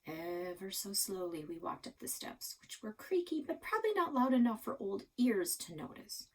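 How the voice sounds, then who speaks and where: Expressive woman reading a children's book. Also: mid-range voice, moderate pace. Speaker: library read-aloud reader.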